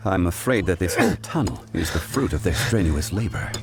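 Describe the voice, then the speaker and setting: Low, dignified, commanding gentleman. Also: very animated, creaky, heavily acted. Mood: grave. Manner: formal, measured, composed. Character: calm, dignified, wise man, commanding and unhurried. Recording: game music behind.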